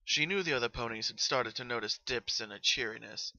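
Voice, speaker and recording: A man reading a story as narrator, in an expressive, earnest dramatic style. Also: medium pitch, brisk, dull audio.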